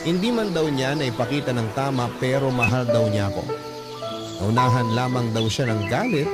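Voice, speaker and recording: A man's voice: medium pitch, close to an everyday voice. A man narrating a personal letter in the first person, in an earnest, sentimental, dramatic storytelling manner. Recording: constant loud music behind voice. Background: music.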